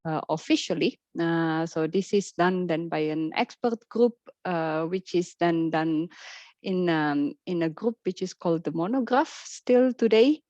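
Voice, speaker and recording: A woman's voice: lowish voice, measured pace, a little mellow, no room sound, muffled recording. A woman researcher giving a keynote talk on a webinar, speaking hesitantly with many fillers and restarts. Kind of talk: webinar presentation. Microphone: video call.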